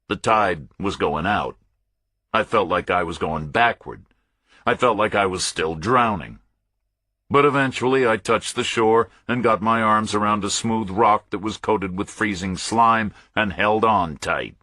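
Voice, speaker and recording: A man's voice: fairly low pitch, bright, forceful tone, dry recording. A man narrating a first-person thriller audiobook in short, clipped, terse phrases.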